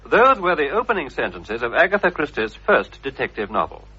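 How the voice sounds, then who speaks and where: A man's voice: medium pitch, huge pitch swings, fairly quick, pushed and bright, husky. A man with a received-pronunciation British accent reading scripted documentary narration in a formal, polished manner. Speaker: radio presenter. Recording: dull, lo-fi old radio recording.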